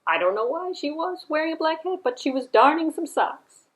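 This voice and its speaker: A woman's voice: high-pitched, big pitch swings, normal speed. A woman storyteller narrating a puppet show for children, with sing-song, repetitive emphasis.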